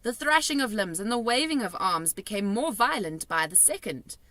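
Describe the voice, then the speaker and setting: A woman reading a children's storybook aloud in an animated narrating style. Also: mid-range voice, normal speed, no echo. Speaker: storybook reader.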